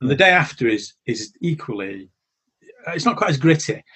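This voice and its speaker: A man's voice: mid-range voice, big pitch swings, normal speed, sharp tone, husky. A middle-aged man, chatting wryly and restarting his sentences on a gaming podcast. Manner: chatty, stumbling restarts, wry. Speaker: podcast co-host. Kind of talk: casual chat.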